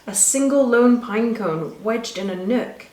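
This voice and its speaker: A woman reading a rhyming children's story aloud with expressive, rhythmic delivery. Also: on the high side, unhurried. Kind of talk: scripted storybook narration for children. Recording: echoey room.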